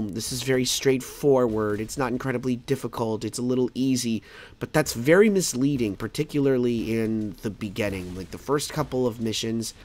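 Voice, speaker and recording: Male game reviewer, casual and rambling, a bit rough from a cold, average pitch. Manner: rambling, stammering, laughs easily. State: fighting a cold.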